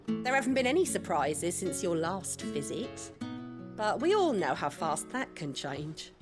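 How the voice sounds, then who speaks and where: A woman's voice: lowish voice, very animated, measured pace. An actress playing a wary, watchful village woman in a video game. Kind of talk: dramatic video game acting. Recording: loud guitar music behind.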